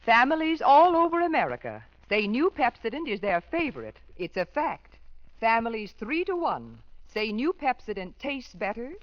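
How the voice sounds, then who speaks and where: Chirpy commercial voice, woman, animated, old dry lo-fi recording. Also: fairly high pitch, moderate pace, no room sound. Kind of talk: 1940s radio commercial.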